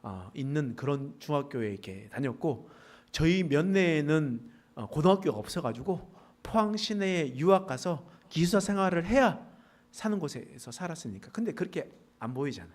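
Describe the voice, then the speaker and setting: A middle-aged man from the Pohang area, a pastor preaching a sermon with traces of a Gyeongsang dialect, folksy and joking with the congregation. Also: normal speed. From South Korea, Pohang area. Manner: folksy, joking asides with congregation, animated pitch swings. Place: church sanctuary.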